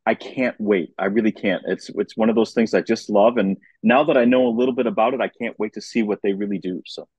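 A man's voice: fairly low pitch, steady tone, a little fast, few pauses, darkish tone, slightly rough, no room sound. A man chatting casually as a guest commentator on an arts podcast. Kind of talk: casual chat.

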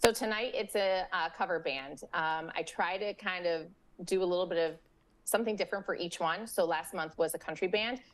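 A middle-aged woman, a local parks and recreation organizer, talking on a video call from home, friendly and often pausing with fillers. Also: on the low side. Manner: friendly, with frequent fillers and restarts. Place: home room with window blinds. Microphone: webcam or laptop mic on a video call.

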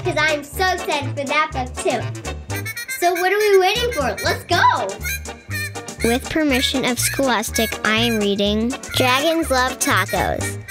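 A very high-pitched, youthful female voice reads a picture book aloud for children. She takes her time with each line and delivers it expressively. Music plays clearly behind her. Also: a little slow. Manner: expressive, addressing young listeners. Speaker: children's storytime reader.

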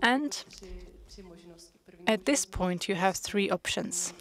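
Slow, measured woman interpreting a panel. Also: medium pitch, lively intonation. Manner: measured, with frequent hesitations. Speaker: conference interpreter. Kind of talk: simultaneous interpretation of a panel discussion.

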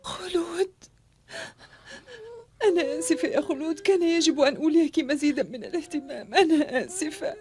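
High-voiced, distraught cartoon mother. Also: expressive, a little fast, darkish tone. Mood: tearful remorse. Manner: emotional, pleading. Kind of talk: dubbed cartoon acting.

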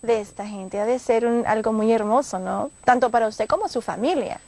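A woman's voice: fairly high pitch, normal speed, a little mellow, no echo. A young woman co-hosting a television interview, asking friendly questions with many fillers. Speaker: TV show co-host. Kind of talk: studio interview. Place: TV studio. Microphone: lapel.

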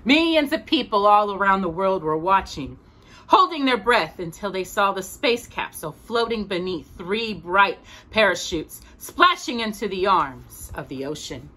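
A middle-aged woman reading a children's book aloud expressively, with deliberate, dramatic phrasing, as a storytime host. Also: medium pitch, animated, sharp tone, rough recording. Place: small room at home with bookshelves.

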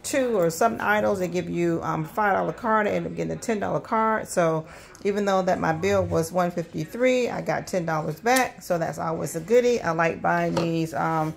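A middle-aged woman hosting a casual grocery haul vlog at her kitchen counter, talking fast and chatty with lots of ums while filming on a handheld phone. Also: lowish voice, creaky. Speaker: host of a budget vlog. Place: indoors at a home kitchen counter. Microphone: handheld phone filming items.

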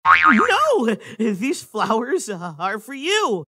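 A man's voice: extremely high pitch, huge pitch swings, extremely slow, sharp tone, no room sound, studio quality, full character voice. A man voicing a shy, nervous young male hedgehog fanboy in a comic dub, with a jittery, flustered manner. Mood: panicked, overexcited.

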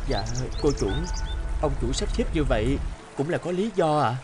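A man's voice: a little high, lively intonation, normal speed, loud music behind the voice, natural voice. A man voicing a humble, earnest young servant scholar in a folk-tale cartoon, deferential and hesitant. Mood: subdued. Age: young adult. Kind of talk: animated folk-tale dialogue.

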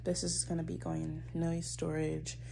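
A woman's voice: deep voice, monotone, moderate pace, vocal fry, mellow. A woman of about twenty with an American accent, chatting casually and slangily in a vlog, talking to herself and her viewers. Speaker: college student vlogger. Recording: very noisy handheld vlog audio.

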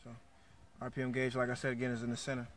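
A man giving a casual walkaround of a car in a showroom, reading out the specs with plenty of fillers. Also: medium pitch. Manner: flat, unhurried, reads specs aloud with fillers. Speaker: car vlogger.

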